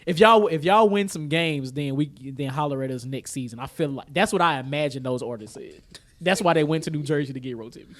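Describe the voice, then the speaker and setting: An American man co-hosting a podcast in casual, slangy banter, quick to chuckle. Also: on the high side, a bit bright. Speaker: podcast co-host.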